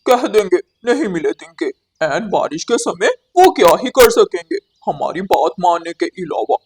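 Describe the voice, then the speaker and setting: A woman's voice: on the low side, sing-song, normal speed, a little mellow, slightly rough, pitched below the performer's own voice, exaggerated voice. A woman voicing a sly, lazy male crow in a cartoon, with a smug, scornful manner. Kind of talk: cartoon character acting.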